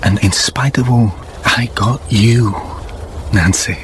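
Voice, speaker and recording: Regretful gentleman father, radio play. Low voice, halting and earnest, heavy background noise. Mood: regretful, resigned. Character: remorseful gentleman father, married, resigned and earnest. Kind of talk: radio drama acting. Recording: noisy radio drama recording.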